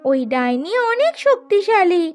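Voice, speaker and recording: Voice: very high voice, very animated, normal speed, soft background music. A performer voicing a small, timid bird girl under a curse in a fairy-tale cartoon, pleading and anxious. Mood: worried. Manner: pleading, worried.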